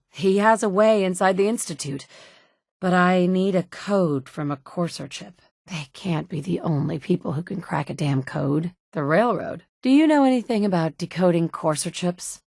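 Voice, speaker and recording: A woman's voice: lowish voice, lively intonation, normal speed, gravelly, darkish tone, no room sound. A woman playing a determined mother turned hardened wasteland survivor, speaking firm, direct lines of game dialogue. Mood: matter-of-fact. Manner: firm, direct, dry and commanding. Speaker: player character voice. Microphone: studio mic, close.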